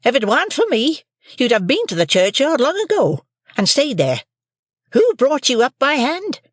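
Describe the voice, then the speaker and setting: A man doing a shrill scolding sister. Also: wildly swooping pitch, moderate pace, no room sound, clean studio sound, exaggerated voice. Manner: sharp, scolding, loud and nagging. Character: shrill scolding sister, harsh tempered woman.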